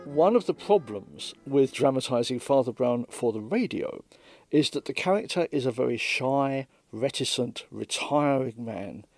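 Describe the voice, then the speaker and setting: A man giving measured, explanatory interview commentary as a radio dramatist, close to the microphone. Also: mid-range voice, lively intonation, a little mellow, soft background music.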